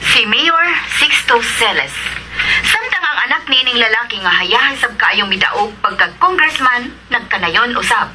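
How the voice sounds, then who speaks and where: A woman's voice: a little high, lively intonation, moderate pace, close to an everyday voice. A woman playing a formal radio news anchor announcing results in a radio drama. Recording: noisy radio broadcast sound.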